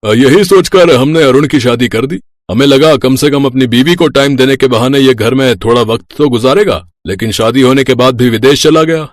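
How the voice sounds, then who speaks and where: Male actor as apologetic middle-aged father-in-law. Cartoon family drama, earnest explaining, medium pitch, dry recording. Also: moderate pace, no echo, lightly acted. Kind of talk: Hindi cartoon story voice acting.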